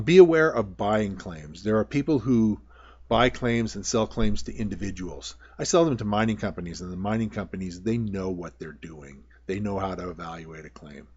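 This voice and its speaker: A man with a fairly low voice talks through an instructional presentation in a folksy, plain-spoken way. He explains things informally and repeats himself. The recording is a bit dull and lo-fi. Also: a little mellow, slightly rough. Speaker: prospector giving a tutorial. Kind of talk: informal narration over slides. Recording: dull, lo-fi voice-over.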